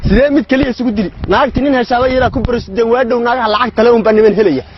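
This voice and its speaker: Man ranting super fast in a really high voice, muffled lo-fi audio. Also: steady tone. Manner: ranting, listing grievances. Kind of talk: comic rant.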